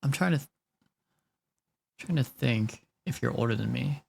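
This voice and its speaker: A young man in his late twenties streams live and chats loosely with his viewers while he works on a drawing. His mid-range voice has a gravelly, creaky edge. He rambles, loses his train of thought and laughs easily. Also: mid-range voice, measured pace, darkish tone. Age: 20s. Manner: rambling, chatty, laughs often, talks to chat.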